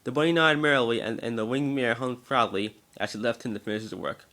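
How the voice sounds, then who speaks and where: A man's voice: mid-range voice, fast talker, pushed and bright. A man narrating a scripted story as an amateur dramatic reading, now and then stumbling over words.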